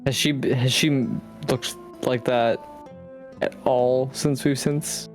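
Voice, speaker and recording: A man's voice: mid-range voice, steady tone, slow, faint music behind. A man chatting casually as a player in a tabletop roleplaying game.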